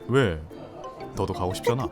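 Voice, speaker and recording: A man's voice: low-pitched, lively intonation, moderate pace. A man voicing a laid-back married man in his thirties who teases his nervous friend with chuckles, in an amateur audio drama. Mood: teasing. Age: 30s. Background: soft background music.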